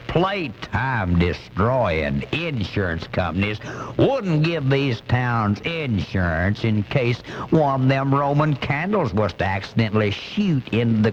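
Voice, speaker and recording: A man's voice: medium pitch, a little hiss or hum. A man playing an angry, blustering redneck commentator in a comic radio monologue, ranting in folksy, ungrammatical indignation. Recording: muffled off-air cassette radio recording, music.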